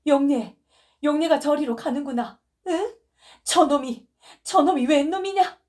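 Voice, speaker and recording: A woman's voice: high-pitched, measured pace, darkish tone, voice pushed up. A woman voicing a grief-crazed mother who wails and sobs, calling for her lost daughter. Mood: frantic shrieking. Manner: wailing, shrieking, sobbing. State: crying.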